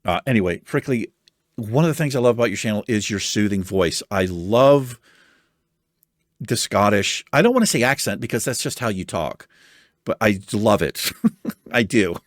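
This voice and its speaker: A man from the United States reading viewer comments in casual chat, animated and chatty with a soothing voice and casual swearing. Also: fairly low pitch, moderate pace, no echo, clean studio sound.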